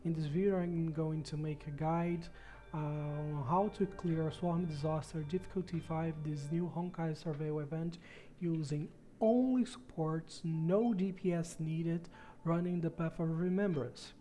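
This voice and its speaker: A man's voice: a little high, steady tone, a little slow, mellow, soft background music. A man from Brazil with a Brazilian accent and Portuguese as his first language, earnestly explaining a game strategy in a casual tutorial and repeating words for emphasis. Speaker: gaming guide creator.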